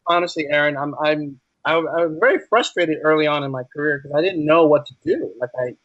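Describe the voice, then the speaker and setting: Male interview guest, medium pitch, conversational explainer, close dry sound that is a little lo-fi. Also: a little fast, a bit bright, dry recording. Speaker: podcast guest, ESL teacher. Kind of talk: casual interview chat.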